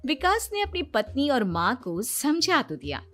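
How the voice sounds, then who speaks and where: A woman narrates a scripted comedy cartoon story in a high, bright voice. Her intonation is lively, and music plays behind her. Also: measured pace.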